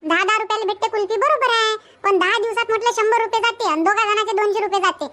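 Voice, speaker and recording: Squeaky, scolding village mother. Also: fairly even intonation, fast, pushed and bright. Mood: exasperated. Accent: Varhadi Marathi. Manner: scolding, complaining, rhetorical questions. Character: exasperated village mother fed up with children's demands. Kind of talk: cartoon comedy dubbing.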